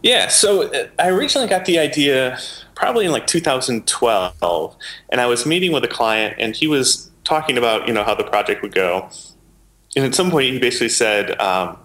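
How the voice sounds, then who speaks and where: A man's voice: mid-range voice, expressive, a little fast, a bit bright. A man being interviewed as a guest, explaining things conversationally and thinking aloud. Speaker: interview guest, software maker.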